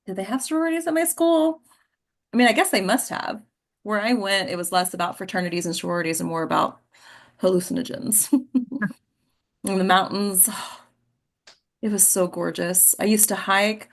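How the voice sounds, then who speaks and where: A woman playing a warm, wry mother in a naturalistic table read of a play, conversational and unhurried. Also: mid-range voice, natural voice. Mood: fond, reminiscent. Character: warm, wry mother who cherishes her wrinkles.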